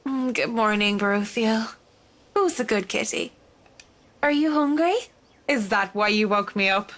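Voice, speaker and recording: A woman's voice: medium pitch, a little slow, fairly bright tone, close to an everyday voice. A woman voicing an affectionate young woman in a visual novel, cooing and playfully fussing as if to a pet. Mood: sleepy fondness.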